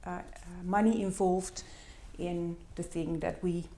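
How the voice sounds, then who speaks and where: A woman's voice: on the low side, steady tone, measured pace, dark tone. A middle-aged woman with a Dutch accent, not speaking her first language, giving a conference talk with slides in a hesitant way, with many fillers. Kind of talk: presentation with slides. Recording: echoey hall.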